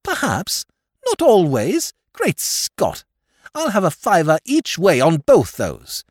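A man voicing a petulant young aristocrat who rants in shrill, old-fashioned upper-class slang. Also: huge pitch swings, slow, no room sound, clean studio sound, exaggerated voice. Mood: indignant.

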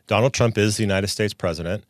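A man hosting a podcast, reading a scripted introduction and asking interview questions in a measured, formal way, recorded in a studio. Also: fairly low pitch, no echo. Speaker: podcast host. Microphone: studio microphone.

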